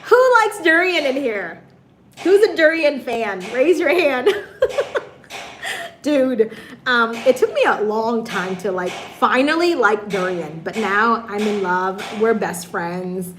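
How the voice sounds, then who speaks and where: A woman from Michigan, hosting a casual livestream and chatting with viewers in a bubbly way, her voice hoarse and raspy, laughing often. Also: fairly high pitch, lively intonation, slow pace, background noise. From Michigan, USA. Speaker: livestream host.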